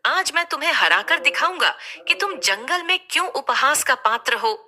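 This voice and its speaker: Huge boastful lion challenger for a cartoon story, very bright and lively, played by a woman. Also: high-pitched, moderate pace, voice pushed up, exaggerated voice. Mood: boastful, menacing.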